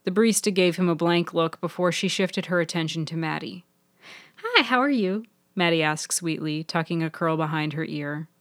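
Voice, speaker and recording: Female narrator for serial fiction podcast, slightly low pitch, dry close recording. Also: flat delivery, a little fast, few pauses, no echo. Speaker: author and narrator.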